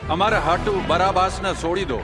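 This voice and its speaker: A man's voice: high voice, normal speed, close to an everyday voice. An actor playing an insistent male religious leader in an audio Bible drama, declaiming accusations. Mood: demanding. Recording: long hall reverb with music behind.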